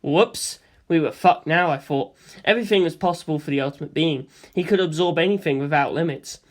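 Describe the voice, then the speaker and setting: A narrator reading a first-person story in an expressive, theatrical way. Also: medium pitch, brisk. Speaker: audiobook narrator.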